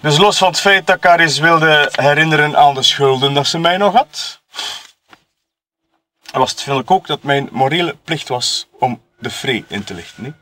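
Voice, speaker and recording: A man acts out a cocky, shady Flemish photographer who deals on the side in an audio murder mystery. His male voice sits a little high. He talks in loose colloquial speech, smugly taunting and justifying himself. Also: animated, moderate pace, bright, forceful tone, a little hiss or hum. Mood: self-righteous, mocking. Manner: colloquial, taunting, tag questions, chuckling self-justification. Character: sleazy, cocky male photographer and drug dealer, smugly mocking.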